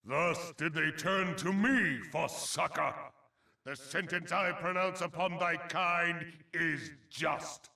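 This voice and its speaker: Slow, echoing wrathful spirit lord. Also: male voice, on the high side, gravelly, pushed and bright, far from the mic, exaggerated voice. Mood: stern, accusing. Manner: archaic, solemn, grandiose pronouncements. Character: proud wrathful male forest spirit lord passing judgment on mankind. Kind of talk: theatrical video game voice acting.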